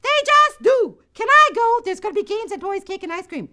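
A woman voicing an excited little girl in first grade who begs to go to a party. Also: very high voice, fairly quick, voice pushed up, heavily acted. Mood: pleading.

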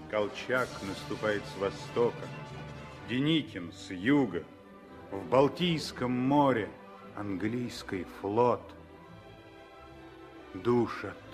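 A man's voice: mid-range voice, fairly bright tone. A man playing the solemn, stirring narrator of a 1960s revolutionary radio drama, in short, weighty, poetic phrases. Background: music.

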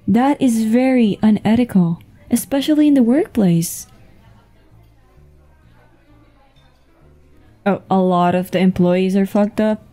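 Woman acting a mellow, unhurried dinner date. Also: mid-range voice. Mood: amused, teasing. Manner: unhurried, giggly, casual. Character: flirtatious, easygoing businesswoman on a dinner date. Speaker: audio roleplay voice actor. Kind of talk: intimate ASMR roleplay acting, one-sided conversation. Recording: music behind the voice.

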